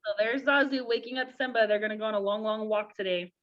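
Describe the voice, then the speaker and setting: A woman's voice: mid-range voice, quick pace, a bit bright, highs cut off. A woman reading a story aloud to young children and talking with them about it.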